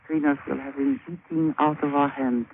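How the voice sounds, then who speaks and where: Guy doing a jovial scheming island high priest, broken english, tinny hissy old radio play. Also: flat delivery, lightly acted. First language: a language of Papua. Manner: broken English, teasing, unhurried. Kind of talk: 1950s radio drama acting.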